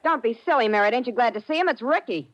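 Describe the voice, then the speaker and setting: A woman plays a jaded gun moll in a radio crime drama. Her talk is blunt, slangy and scolding, wary and sharp-tongued, in a dry close sound. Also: on the high side, lively intonation, quick pace, no echo, highs cut off, close to an everyday voice. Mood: impatient. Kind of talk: old-time radio crime drama acting.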